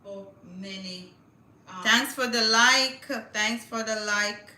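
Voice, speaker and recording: Bright-voiced woman livestreaming. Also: on the high side, slow, echoey room. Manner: chatty, thinks aloud, reads with stumbles. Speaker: livestream host. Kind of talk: casual livestream chat, reading news aloud.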